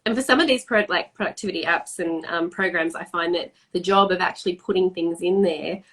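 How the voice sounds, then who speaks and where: A woman's voice: fairly low pitch, brisk, fairly bright tone. Chatty, upbeat woman hosting a video call. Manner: chatty and enthusiastic, many um fillers. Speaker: host. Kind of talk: live-streamed video interview.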